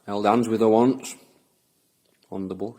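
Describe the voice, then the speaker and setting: An actor playing a playful, stubborn man in an audio drama, husky and teasing. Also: lowish voice, steady tone, a little slow, mellow, close to an everyday voice. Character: playful, nostalgic, stubborn local man exploring old tunnels.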